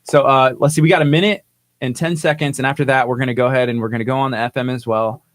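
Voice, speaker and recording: A man's voice: medium pitch, fairly even intonation, fast, dry recording. Laid-back man, dry studio sound. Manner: laid-back, rambling, laughs often. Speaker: radio show host. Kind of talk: casual radio chat. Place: small radio studio.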